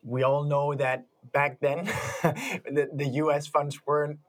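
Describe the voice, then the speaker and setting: Co-host interviewer: man, slightly higher voice, friendly and chuckly, casual questions. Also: fairly even intonation, takes it slowly. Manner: friendly, laughing often.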